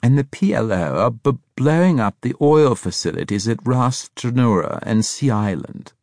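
A man's voice: mid-range voice, expressive, moderate pace, a little mellow, no echo, studio quality, voice pushed up, close to an everyday voice. A man voicing a cheerful, flamboyant older spy who stammers on consonants and talks in an airy, condescending way. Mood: gleeful. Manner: airy, arch, condescending, effusive.

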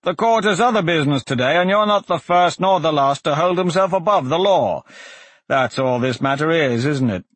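A man voicing an older, stern and sardonic magistrate with measured, formal speech in a fantasy audiobook. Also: fairly high pitch, lively intonation, husky, no room sound, pitched above the performer's own voice. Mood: stern, solemn. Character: older grey-browed male magistrate, dry, stern, sardonic. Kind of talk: fantasy audiobook character dialogue.

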